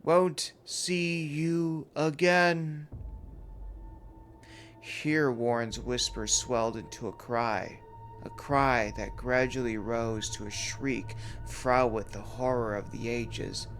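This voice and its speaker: A man narrating a scripted horror story in a grave, deliberate, dramatic manner. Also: mid-range voice, fairly even intonation, fairly bright tone. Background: background music.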